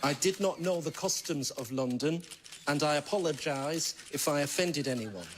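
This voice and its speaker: An actor playing a friendly northern man, giving a flat, polite, apologetic statement, heard on a noisy clip. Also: fairly high pitch, moderate pace, lightly acted. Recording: noisy clip played in a room.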